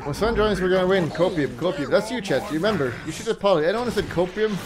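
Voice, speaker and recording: A man's voice: high-pitched, expressive, quick pace, a crowd in the background. A man streaming a game, reacting casually and with swearing to the play and his chat. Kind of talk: casual live gaming commentary, swearing. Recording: stream with busy game sound behind.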